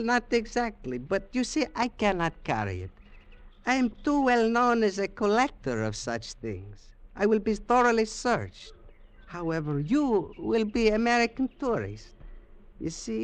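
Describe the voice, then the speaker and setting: Old-time radio drama: wealthy, frail Peruvian gentleman, high voice, Spanish accent, courtly and shrewd. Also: very animated. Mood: smooth, persuasive. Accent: Spanish-accented English, Peruvian. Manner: formal, deliberate, courteous. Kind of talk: 1940s radio acting. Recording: old 1940s radio broadcast.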